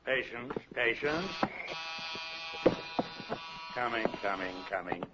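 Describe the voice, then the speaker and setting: An animated, fatherly old safecracker. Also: mid-range voice, slow pace, very noisy. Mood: fussing, unhurried. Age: older. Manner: avuncular, repeats phrases. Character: old veteran safecracker, genial, fatherly, wistfully proud crook. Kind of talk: 1940s radio acting. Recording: muffled old-time radio recording.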